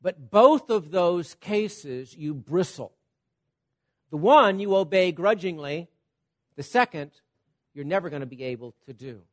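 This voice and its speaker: A man preaching a sermon, teaching with everyday examples, checking in with "Okay?" and swinging between loud emphasis and quiet asides. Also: on the high side, lively intonation, unhurried. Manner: repeats "Okay?" to check on listeners.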